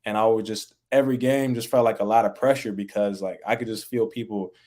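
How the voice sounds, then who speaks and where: A young man talking casually as a podcast guest, relaxed and full of fillers, raised in the American Midwest. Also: on the low side, a little fast. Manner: relaxed, laid-back, many fillers like "like" and "you know". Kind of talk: casual interview chat.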